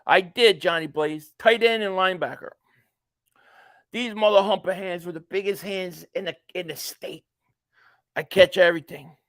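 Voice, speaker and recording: High-pitched guy late 40s, rambly live stream chatter, reads out comments, dry close sound. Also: moderate pace, fairly bright tone, no echo. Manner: rambling, chatty, jokey asides. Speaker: live stream host reacting to a football game. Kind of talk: casual live stream chat, reading viewer comments aloud.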